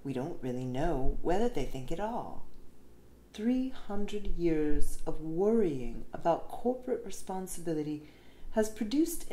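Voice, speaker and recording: A woman reads an essay aloud in a fairly low voice with lively, swinging intonation. Her delivery is measured, with deliberate pauses. The recording has an echoey room sound. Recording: roomy echo with faint background noise.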